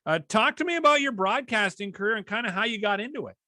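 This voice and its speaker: A man's voice: sits high, expressive, quick pace, sharp tone, dry recording, clean studio sound. A man hosting a podcast interview in a conversational way. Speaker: podcast host and hockey broadcaster.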